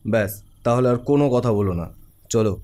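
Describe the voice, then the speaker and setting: A man playing a cocky, impatient young street thug in an animated horror cartoon. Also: mid-range voice, fast, close to an everyday voice. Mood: coaxing. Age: young adult. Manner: swaggering, impatient.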